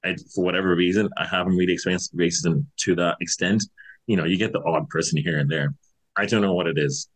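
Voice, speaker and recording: A man's voice: deep voice, steady tone, fast talker, low-quality recording. A man in his thirties from Zimbabwe, talking loosely in an interview with lots of fillers and restarts. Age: 30s. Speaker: guest. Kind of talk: casual interview chat.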